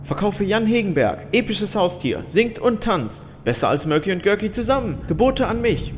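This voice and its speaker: A man's voice: high-pitched, sing-song, normal speed, long reverb tail, slight background noise. A man playing an eager trader crying out his wares in a fantasy game parody audio drama. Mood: hawking.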